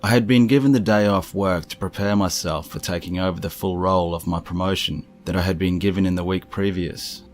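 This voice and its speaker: A man reading scripted first-person stories as a narrator, in a measured, serious manner. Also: low-pitched, fairly even intonation, a little mellow, soft background music. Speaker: narrator of strange true stories.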